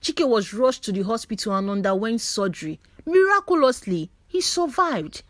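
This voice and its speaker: Woman telling a dramatic story, really animated pitch, dry recording. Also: normal speed, no room sound. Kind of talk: dramatic scripted story narration.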